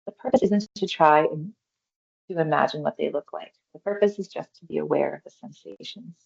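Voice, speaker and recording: Casting: woman, low creaky voice, meditation guide, gentle and reassuring, unhurried phrasing, slightly muffled recording. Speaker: mindfulness exercise guide. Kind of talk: guided meditation, body scan instruction.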